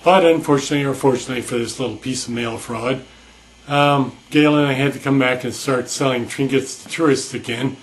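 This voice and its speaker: An older man telling a long anecdote in a wry, deadpan way, with frequent restarts and fillers. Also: mid-range voice, brisk. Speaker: storyteller. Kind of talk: casual spoken anecdote.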